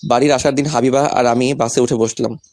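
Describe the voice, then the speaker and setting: Young male narrator and lead for romantic audio story, mid-range pitch, scripted delivery. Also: fairly even intonation, fairly quick, darkish tone, slight background noise.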